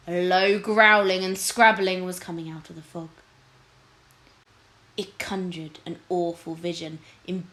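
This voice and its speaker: A woman's voice: on the low side, fairly bright tone. Woman reading a children's story, echoey room. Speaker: teacher reading aloud. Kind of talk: expressive children's storybook narration.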